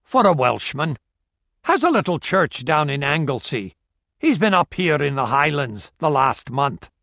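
A man's voice: on the high side, very animated, a little slow, a bit bright, no echo, clean studio sound, exaggerated voice. A man voicing a friendly middle-aged barkeep, deferential and spinning a tale. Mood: chatty. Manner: deferential, storytelling.

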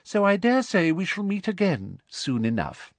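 A man's voice: sits high, wildly swooping pitch, normal speed, no echo, pitched above the performer's own voice, exaggerated voice. A man voicing a coldly officious, falsely sweet woman school inspector in an audiobook, prim, pompous and condescending. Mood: smugly menacing.

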